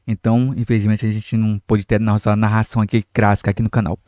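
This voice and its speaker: A young man with a Brazilian Portuguese accent runs his football channel in a loose, chatty way, full of fillers and asides to the audience. His voice is mellow and a little rough from a cold he is getting over. The sound is dry and close. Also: lowish voice, monotone, quick pace, no echo. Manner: chatty, many fillers, addresses viewers directly. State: recovering from a cold, irritated throat. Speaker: football commentary channel host. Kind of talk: casual football commentary to camera.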